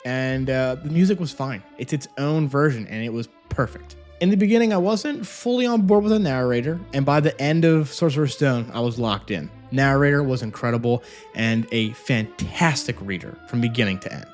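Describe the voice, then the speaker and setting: A man's voice: medium pitch, animated, normal speed. A man giving an enthusiastic, casual review as a vlog host, talking into a microphone in a home office. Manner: enthusiastic, gushing. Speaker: audiobook review video host. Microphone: separate microphone with phone camera. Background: music.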